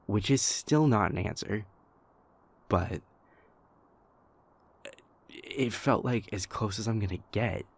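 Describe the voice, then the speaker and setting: A man performs a young vampire prince in an intimate audio drama. The voice sits fairly low and moves very slowly, with halting restarts and weighty pauses. He sounds sincere, troubled and exasperated, like someone venting to a partner. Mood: puzzled, hurt. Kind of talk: intimate audio roleplay acting.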